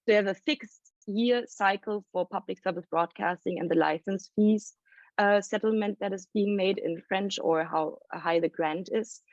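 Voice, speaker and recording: Woman, mid-pitched, researcher presenting, explanatory, pauses to think, dry lo-fi recording. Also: no echo. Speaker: report author presenting research. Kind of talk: panel presentation at a report launch. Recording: dry, dull lo-fi sound.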